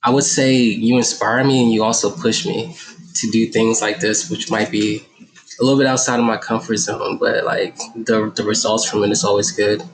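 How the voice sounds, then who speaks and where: A man with a low voice speaks on a podcast as its guest. His delivery is flat and even, relaxed and reflective, with lots of filler words as he talks through his story. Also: moderate pace, rough recording. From Guyana. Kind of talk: casual interview talk.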